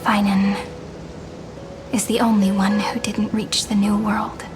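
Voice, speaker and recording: An actress playing a gentle, wistful young woman in a game cutscene, speaking softly and earnestly. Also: medium pitch, level tone, takes it slowly, over music, natural voice. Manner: soft, earnest and reflective. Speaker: game character. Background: soft game score.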